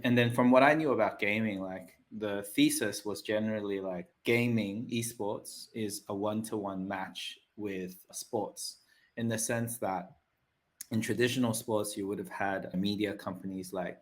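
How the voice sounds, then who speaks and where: A man speaks as a guest in a relaxed interview, his voice sitting a little low. He talks in a loose, conversational way and often tags his points with a quick question. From Australia. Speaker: podcast guest. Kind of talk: casual interview talk.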